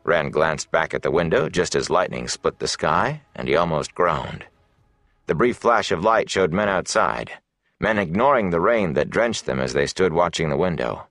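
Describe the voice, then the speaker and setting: A man's voice: sits low, lively intonation, normal speed, a bit bright, no room sound. A man reading scripted fantasy narration dramatically as an audiobook narrator.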